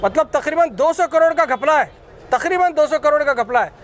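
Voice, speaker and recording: High-pitched man with a Deccani Urdu accent. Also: expressive, brisk, fairly bright tone, a faint crowd. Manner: emphatic, accusatory, repetitive. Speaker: political activist. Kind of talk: impromptu press statement.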